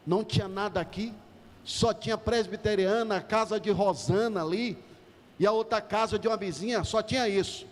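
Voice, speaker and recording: A man's voice: sits high, normal speed. A middle-aged man from Salvador, preaching in church and calling out to the congregation. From Salvador, Bahia, Brazil. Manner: emphatic, calls out to the congregation. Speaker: pastor. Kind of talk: preaching a sermon. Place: church hall. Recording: church hall reverb.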